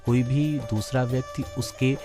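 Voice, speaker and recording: An Indian man giving an explanatory awareness talk in a formal, measured manner, repeating phrases for emphasis. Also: medium pitch, steady tone, music in the background.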